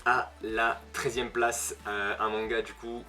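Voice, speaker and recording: A man's voice: on the low side, level tone, takes it slowly. A young man with a northern French accent reviewing manga casually, with many fillers and self-corrections. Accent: Northern French (ch'ti). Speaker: manga video host. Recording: music playing behind.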